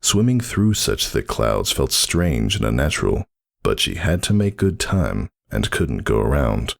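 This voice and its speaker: Very deep, gravelly male story narrator. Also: big pitch swings, measured pace. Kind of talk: dramatic scripted story narration.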